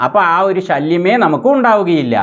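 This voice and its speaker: A man's voice: high voice, animated, fairly quick, a bit bright. A man presenting a tech tutorial, explaining each step in a methodical, instructional way.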